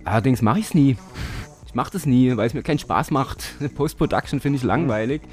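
A man's voice: medium pitch, animated, fairly quick. A man chats loosely in his living room, hesitating and filling gaps as he thinks. He laughs easily between thoughts. Faint music plays in the background. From Dresden, Germany. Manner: hesitant, many fillers, laughs often. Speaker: interview guest, modular synth hobbyist. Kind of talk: casual interview chat.

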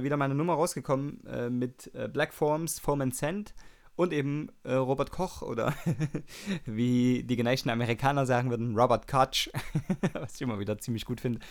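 A man's voice: mid-range voice, a little mellow, smooth. A man with a Saxon German accent hosting a music radio show, chatting loosely with many fillers. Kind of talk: casual radio presenting.

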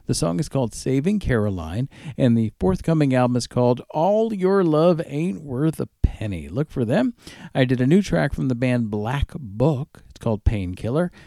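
A man hosting a music radio show, casually presenting tracks in an easygoing, conversational way with a gravelly voice. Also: mid-range voice, mellow, no room sound, clean studio sound. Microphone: close studio microphone with headphones.

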